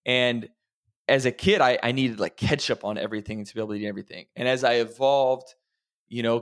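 A man who works as a health coach, chatting casually and reflectively on a podcast while encouraging his listeners. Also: medium pitch.

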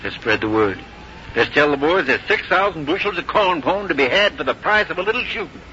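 A man acting a gruff, suspicious soldier who takes charge, in a 1950s radio drama. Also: fairly high pitch, expressive, fairly quick, close to an everyday voice. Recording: muffled, very noisy 1950s radio recording.

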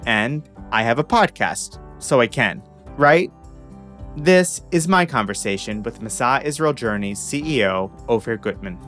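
A man's voice: mid-range voice, animated, a little slow, fairly bright tone, faint music behind. A young man hosting a podcast, reading a scripted intro with wry, self-aware humor. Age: young adult. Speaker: podcast host. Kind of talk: scripted narration and interview questions.

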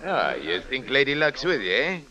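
An actor playing a crafty old waterfront dice-game runner with folksy, sailor-like phrasing in an old radio drama. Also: male voice, mid-range voice, very animated, normal speed, sharp tone. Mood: brisk, teasing. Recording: vintage radio recording with background crowd.

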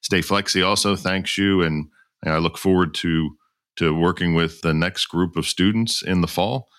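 Middle-aged male podcast host, very low pitch, easygoing, repeats words. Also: steady tone, moderate pace, fairly bright tone. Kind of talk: podcast interview.

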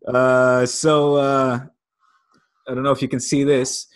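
A man co-hosting a football podcast in a relaxed, joking way. He reads out stats and teases his co-host with quick quips, at an ordinary mid pitch. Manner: playful, teasing, quick quips. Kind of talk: casual football podcast chat.

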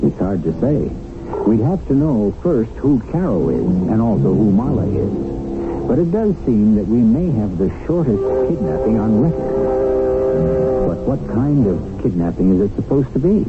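Loud music backs a man narrating a 1970s radio mystery on a thin broadcast recording. His delivery is animated, wry and theatrical, posing rhetorical questions as host. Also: medium pitch, a little slow, narrow, tinny audio.

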